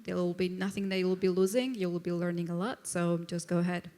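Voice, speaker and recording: A woman's voice: fairly low pitch, steady tone, fast, warm tone. Direct, encouraging woman panelist. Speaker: startup founder on a panel. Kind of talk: live panel discussion.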